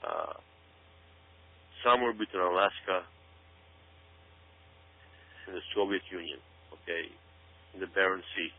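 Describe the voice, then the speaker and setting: A man's voice: sits low, unhurried, pauses often. A man giving conspiracy-minded commentary as a radio show guest, speaking emphatically and repeating words and phrases for stress. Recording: narrow, phone-like radio sound.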